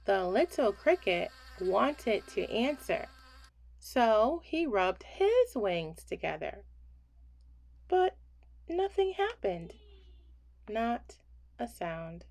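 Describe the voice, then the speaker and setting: A woman reads a bedtime story aloud at a very slow, deliberate pace. Her pitch rises and falls in a lively way, and she leaves pauses between short phrases. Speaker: children's story reader.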